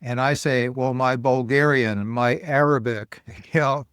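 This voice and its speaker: Smooth-voiced American podcast host. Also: male voice, medium pitch, fairly even intonation, measured pace, a bit bright, no echo, clean studio sound. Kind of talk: casual interview chat.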